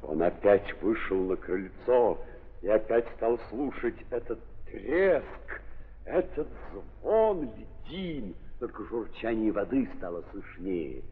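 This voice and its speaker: Slow, highly animated theatrical male narrator. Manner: theatrical, probing, repeats phrases for emphasis. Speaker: narrator of an audio drama. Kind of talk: dramatic 1930s radio theatre narration. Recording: muffled 1930s radio recording, long hall reverb.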